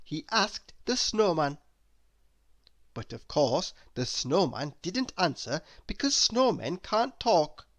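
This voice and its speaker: A man reading a children's story aloud in a deliberate, expressive way, leaning on key words. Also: fairly high pitch. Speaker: storybook reader.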